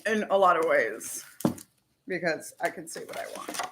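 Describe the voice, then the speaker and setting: Chatty woman with faint background hiss. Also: low-pitched. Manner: chatty, animated, swearing, quick asides with laughs. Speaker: host. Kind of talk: casual chat to camera.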